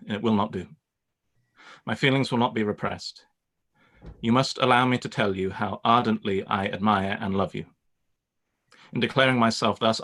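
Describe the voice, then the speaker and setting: Man playing a low-voiced, haughty Regency gentleman. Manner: formal, haughty. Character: proud, stiff, ardent Regency gentleman. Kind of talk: radio play live reading.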